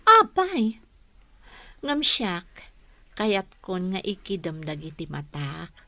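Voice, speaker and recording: Naannad a manang, nasiglat ti timekna. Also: female voice, fairly low pitch, huge pitch swings, slow, sharp tone, no echo, exaggerated voice. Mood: concerned. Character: guarded, dutiful elder sister working at a club. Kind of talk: Ilocano radio drama acting.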